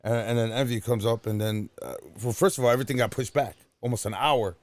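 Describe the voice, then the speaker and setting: Male podcast host, quick-paced and blunt. Casual street slang, lots of swearing, conversational. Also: mid-range voice, fairly even intonation, dry recording.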